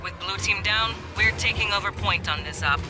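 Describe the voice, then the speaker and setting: An actor playing a tough, foul-mouthed marine squad leader in a video game, giving terse, commanding orders. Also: male voice, high-pitched, normal speed, natural voice. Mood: grim, commanding. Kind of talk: scripted video game dialogue. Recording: loud music behind the voice.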